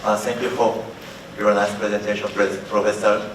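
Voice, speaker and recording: Low-voiced Japanese man in a very echoey hall. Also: little pitch movement, normal speed, a little hiss or hum. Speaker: audience member asking a question. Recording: very echoey hall, distant mic.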